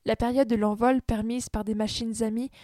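Even-toned, mellow woman narrator. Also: a little high, moderate pace, no echo. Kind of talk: scripted literary narration.

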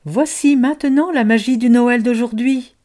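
A little magical mouse from a storybook, making a solemn announcement, as a woman reading aloud puts it on. The voice sits slightly raised and steady. It comes across as soft and mellow. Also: a little high, moderate pace, voice pushed up, natural voice. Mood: announcing.

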